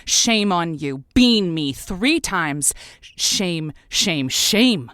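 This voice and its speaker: Radio-comedy host, lowish female voice, earnest, dramatic, easily wounded, halting, close dry sound. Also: lively intonation, fairly bright tone, no echo, exaggerated voice. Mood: sympathetic, solemn.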